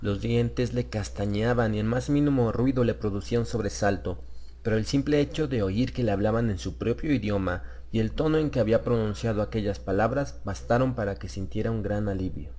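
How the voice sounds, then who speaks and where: A man's voice: lowish voice, fairly quick, mellow. A man reading a scripted horror story as a dramatic audiobook narrator.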